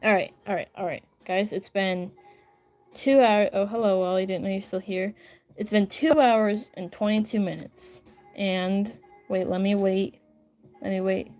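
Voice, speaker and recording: A woman's voice: mid-range voice, steady tone, unhurried, a little mellow, faint music behind. Giggly rambling young woman streamer. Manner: rambling, giggly, easily sidetracked while reading comments. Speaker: livestreaming artist. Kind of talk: casual livestream chat. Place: home bedroom upstairs.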